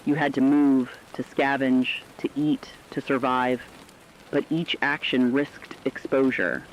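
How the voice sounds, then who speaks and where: A man delivering scripted apocalyptic horror narration in a grave, measured storytelling manner. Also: mid-range voice, highs cut off, slight background noise.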